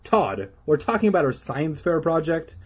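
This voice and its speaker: Man acting out storybook girl, lifted pitch, skeptical, matter-of-fact, lively, slightly husky. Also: normal speed, exaggerated voice. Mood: impatient. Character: simple-spoken, skeptical schoolgirl, impatient with silly boys.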